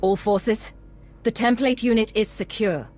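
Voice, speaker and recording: A woman's voice: fairly high pitch, measured pace, noisy background, lightly acted. An actress playing a composed, determined female commander in a video game, level and direct. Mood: commanding.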